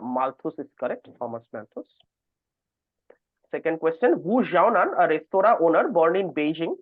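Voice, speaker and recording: A man's voice: sits high, dry recording. A man reading quiz questions aloud as quizmaster and responding to contestants. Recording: narrow phone-like call audio.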